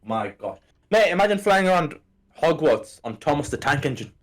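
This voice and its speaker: A man's voice: fairly high pitch, big pitch swings, normal speed. A man from Hong Kong co-hosting a casual gaming podcast, joking and playful. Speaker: podcast co-host.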